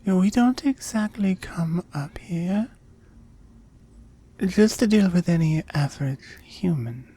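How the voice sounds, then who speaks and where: A woman voicing a seductive, smug oni demon in an intimate ASMR roleplay, breathy and often whispering as she slowly coaxes and teases. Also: fairly low pitch, expressive, dark tone, exaggerated voice. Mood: seductive, persuasive. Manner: slow, coaxing, teasing.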